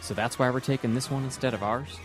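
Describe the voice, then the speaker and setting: A man's voice: mid-range voice, fairly even intonation, fast, close to an everyday voice. A man playing a self-assured space navy commander in a scripted comic dub, conversational and slightly defensive. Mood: questioning. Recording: music under the dialogue.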